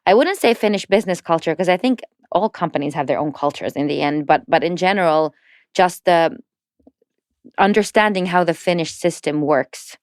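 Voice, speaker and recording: A woman's voice: fairly low pitch, normal speed, no room sound, clean studio sound. A woman talking as a podcast guest, fluent and explanatory, with light laughs. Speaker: podcast guest, entrepreneurship network representative. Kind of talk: casual podcast conversation.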